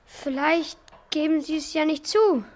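Curious schoolboy, high voice, hesitant, slangy, a bit worried, children's radio play. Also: female voice, measured pace.

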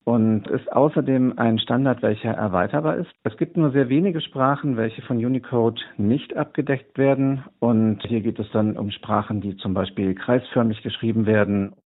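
A man's voice: medium pitch, steady tone, normal speed, hardly any pauses. Man explaining over a tinny phone line. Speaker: professor interviewee. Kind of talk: explanatory interview answer.

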